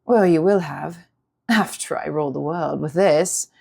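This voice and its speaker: Smooth, taunting villainess, acted by a woman reader. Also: low voice, a little slow, mellow, full character voice. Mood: smug. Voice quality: rich, smooth. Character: vain, seductive villainess, smug and taunting.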